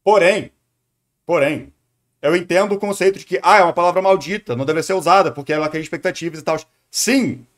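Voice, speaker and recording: Higher-voiced Brazilian man, playful live streamer. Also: fairly quick. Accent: Brazilian Portuguese. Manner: playful, digressive, repeats words. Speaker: streamer reacting to a video. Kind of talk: casual live commentary.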